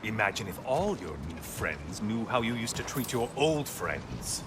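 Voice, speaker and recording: An actor playing a bitter, mocking sun god who taunts with sarcastic remarks in video game dialogue. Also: male voice, on the low side, sing-song, measured pace, noisy background. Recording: game audio with loud music.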